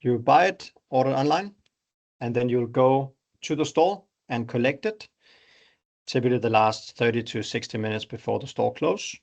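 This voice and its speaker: A middle-aged Danish-accented man walks listeners through how an app works, in a relaxed, conversational way. His voice has a gravelly, creaky edge and sits at a middling pitch. He talks like someone presenting on an online call. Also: moderate pace. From Denmark. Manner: explanatory, conversational pitch with examples. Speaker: startup founder presenting.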